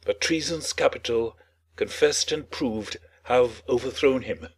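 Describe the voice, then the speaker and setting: A man's voice: medium pitch, a little slow, husky. An actor playing a formal, grave nobleman bearing royal news in a dramatic Shakespeare reading.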